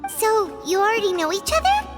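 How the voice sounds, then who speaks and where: A tiny game fairy with an extremely high, squeaky child's voice. She is perky and blunt, bouncing through her lines in lively swoops over loud music. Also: moderate pace. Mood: chirpy. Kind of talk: English video game voice acting.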